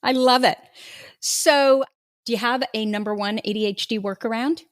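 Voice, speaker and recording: A woman's voice: fairly high pitch, normal speed. A woman hosting a casual podcast interview, enthusiastic and affirming, laughing along with her guest. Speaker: podcast host.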